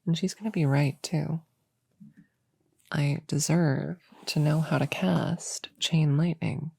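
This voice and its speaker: A woman playing an eager, slightly grumpy young novice witch in a soft-spoken ASMR roleplay, chatting casually and hesitantly to a friend in a breathy voice that often drops to a whisper. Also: low-pitched, steady tone, slow, heavily acted. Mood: smug, determined. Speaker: audio roleplay performer. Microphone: close microphone in a dry space.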